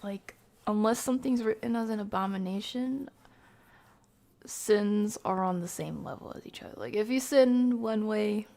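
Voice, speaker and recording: A woman's voice: mid-range voice, moderate pace, creaky, warm tone. A woman doing casual live game commentary as a streamer, jokey and slangy, with mild exclamations in place of swearing.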